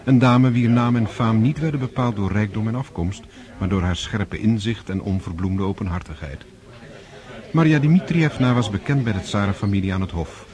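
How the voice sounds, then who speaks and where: An actor narrating a radio drama as a measured storyteller of an aristocratic saga. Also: male voice, fairly low pitch, a little mellow, natural voice.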